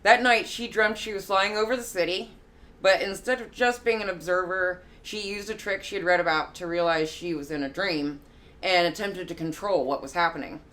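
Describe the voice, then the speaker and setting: A woman with a low voice narrates a short story for a live reading. Her delivery is measured and careful, with the odd slip that she corrects. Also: fairly bright tone. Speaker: guest narrator, musician and artist.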